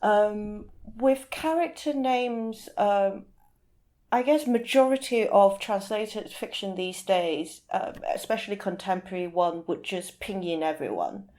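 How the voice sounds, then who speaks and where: A woman with a mid-pitched voice speaks as a guest translator in an interview. She explains her points thoughtfully and conversationally. Her phrasing halts as she searches for words and sometimes repeats them. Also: animated, unhurried, darkish tone.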